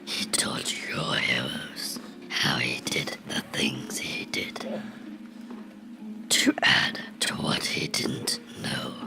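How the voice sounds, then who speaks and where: A man voicing a bitter, menacing cartoon character in a slow, brooding horror ASMR monologue, whispering in a breathy, gravelly voice. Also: rumbling low, full character voice, rough recording. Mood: ominous, brooding. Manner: slow, deliberate, brooding storytelling with dramatic pauses. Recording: whispered with music underneath.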